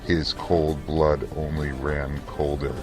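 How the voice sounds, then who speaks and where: A man reading scripted fantasy narration for an audio drama in a solemn, measured, theatrical way. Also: extremely low pitch, level tone, over music.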